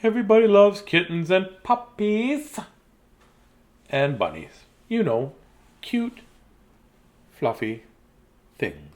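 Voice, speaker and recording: A man in his fifties reads children's bedtime picture books in an animated, playful, childlike way, with chatty asides. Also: high voice, slow pace, long pauses. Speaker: children's storyteller. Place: small decorated room indoors.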